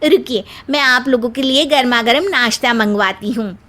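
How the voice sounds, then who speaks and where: A woman's voice: a little high, moderate pace, bright, forceful tone. A woman voicing a polite, chatty younger married cousin in a family story, speaking deferentially. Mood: cheerful, welcoming. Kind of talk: dramatised Hindi family storytelling.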